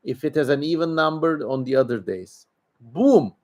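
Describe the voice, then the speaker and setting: A man's voice: a little high, expressive, moderate pace, darkish tone. A man with a Turkish accent hosting a casual livestream, answering viewers in an opinionated, emphatic, rambling way. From Turkey. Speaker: livestream host.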